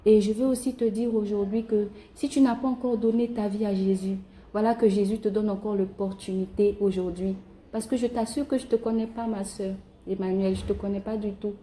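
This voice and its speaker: A woman's voice: medium pitch, fairly even intonation, fairly quick, warm tone, poor audio. A woman speaking as a phone evangelist, preaching informally and directly to one listener in an earnest, encouraging way. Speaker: evangelist calling strangers by phone.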